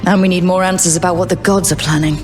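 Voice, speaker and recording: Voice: mid-range voice, fairly even intonation, fast talker, soft background music, lightly acted. A voice actor playing a young elf adventurer and former rogue who talks in a wry, sarcastic, joking way.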